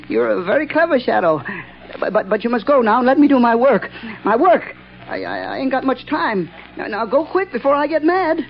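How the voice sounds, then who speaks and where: High, giggling, unhinged night watchman. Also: sing-song, moderate pace, gravelly, faint music behind, exaggerated voice. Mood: agitated, giggly. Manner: stammering, nervous, childlike, giggling. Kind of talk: 1940s radio acting. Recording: muffled old-time radio recording.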